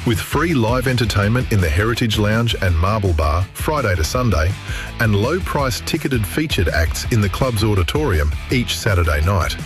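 A man reading a scripted radio advertisement in a smooth promotional manner. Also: fairly low pitch, animated, moderate pace. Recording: ad with music bed. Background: guitar music.

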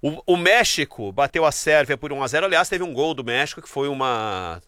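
Man, Brazilian accent, radio sports host, casual chatty delivery, mid-range pitch, dry studio-like sound. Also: animated, brisk, sharp tone, no room sound.

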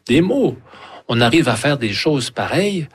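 Homme, romancier en entretien, timbre légèrement soufflé, ton sincère. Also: male voice, medium pitch, sing-song, moderate pace, slightly rough. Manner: earnest storytelling, hesitant. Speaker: guest author. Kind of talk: interview answers.

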